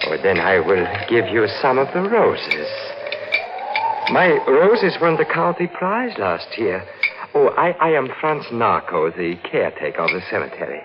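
An actor playing a soft-spoken, courteous and menacing cemetery caretaker who is secretly a murderer, in an old-time radio thriller. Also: male voice, medium pitch, sing-song, a little slow. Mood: sinister courtesy. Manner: courteous, menacing, dwelling morbidly on flowers and death. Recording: muffled, noisy 1940s radio recording.

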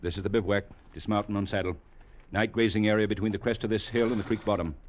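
An American actor playing a stern, exacting older cavalry captain in a radio drama, speaking in a measured, formal, lecturing way. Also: male voice, on the low side, fairly even intonation, close to an everyday voice. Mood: stern, commanding. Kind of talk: 1940s-50s radio acting. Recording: dull, hissy old radio recording.

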